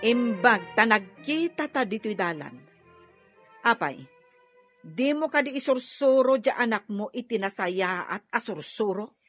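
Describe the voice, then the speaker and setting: A woman's voice: on the high side, animated, unhurried, a bit bright, faint music behind. A woman acting a proud, quarrelsome village woman who scolds in a confrontational way in an Ilocano radio-style drama. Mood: scolding.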